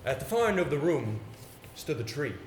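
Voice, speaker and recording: An actor playing a pompous, exasperated theatre coach in an amateur stage play, with a sarcastic, emphatic delivery. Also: male voice, fairly high pitch, big pitch swings, normal speed, music in the background. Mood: measured storytelling. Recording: roomy stage recording with faint noise.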